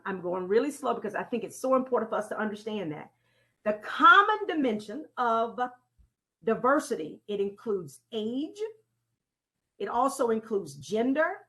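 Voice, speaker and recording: A woman leading an interactive training talk in a deliberate, teacherly way, putting questions to her audience and repeating key phrases. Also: on the high side, a bit bright. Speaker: presenter leading a training session. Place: online conference call with slides.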